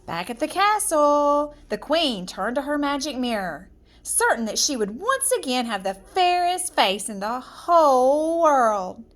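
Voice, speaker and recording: A woman narrates a children's fairy tale in a high voice that moves through lively swings of pitch. She takes her time, phrasing each part deliberately and with storybook drama. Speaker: storyteller reading aloud.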